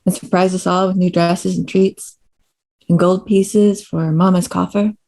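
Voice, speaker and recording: Woman playing a defiant frontier sister, mellow voice. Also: fairly low pitch, flat delivery, unhurried. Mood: earnest. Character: defiant, tough young frontier sister with cynical grit. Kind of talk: table read of a screenplay.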